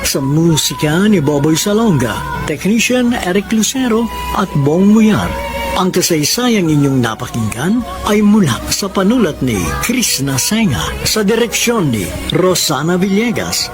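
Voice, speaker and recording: A Filipino man with a very high, swooping voice works as a radio drama announcer. He reads out scripted credits over clear background music. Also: big pitch swings, a little slow.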